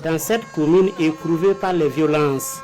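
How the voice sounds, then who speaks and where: A man's voice: on the high side, measured pace, warm tone, soft background music. A man narrating a scripted news report as a reporter.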